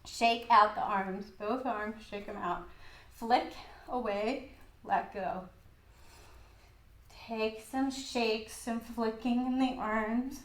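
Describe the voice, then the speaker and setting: A woman with a croaky voice guiding a gentle yoga class in an old studio, cueing calmly with light laughs. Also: fairly high pitch, slow, roomy sound. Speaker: yoga instructor.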